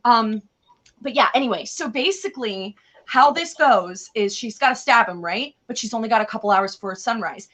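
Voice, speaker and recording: A woman retelling a tale in a casual, chatty way, with an animated, theatrical and slangy manner. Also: fairly high pitch. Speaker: co-host retelling fairy tales.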